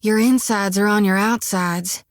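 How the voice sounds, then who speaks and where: A woman's voice: medium pitch, fairly even intonation, a little slow, no room sound, clean studio sound, natural voice. An actress voicing a friendly, folksy young woman priest in a video game, with a drawl and a chatty, earnest manner. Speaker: game companion character.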